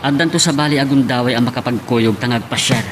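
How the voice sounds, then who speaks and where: A man's voice: medium pitch, quick pace, music in the background, natural voice. A man playing a mild, evasive young boyfriend in an Ilocano radio drama, speaking hesitantly with a Filipino accent.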